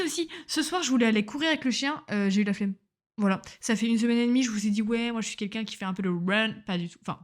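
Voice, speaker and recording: A young woman chats into a home microphone in a loose, diary-style podcast. She rambles from one thing to the next, slangy and self-mocking, with a casual everyday tone. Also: mid-range voice. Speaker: podcaster, medical student. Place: at home on a couch.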